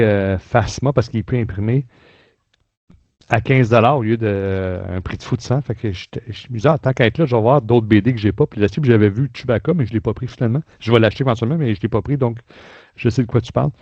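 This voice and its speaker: A man's voice: fairly low pitch, fast talker, gravelly, a little mellow, no echo, lo-fi sound. A man with a Quebec French accent hosting a casual review chat in an informal, colloquial way. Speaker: podcast host. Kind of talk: casual chat, book and audio drama review.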